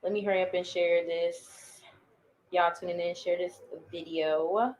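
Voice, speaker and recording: A young woman hosting a live podcast, talking loudly and casually, laughing and swearing a lot. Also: on the low side, unhurried, dull audio, slight background noise. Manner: loud, laughing, swearing, animated. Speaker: podcast host.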